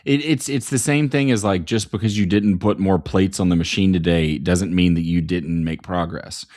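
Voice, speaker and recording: Man in his thirties, lowish voice, dry close mic, easygoing host chatting with a guest. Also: moderate pace, a little mellow, dry recording. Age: 30s. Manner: loose and swearing, many "like" fillers. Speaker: podcast host and coach. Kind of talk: casual podcast chat.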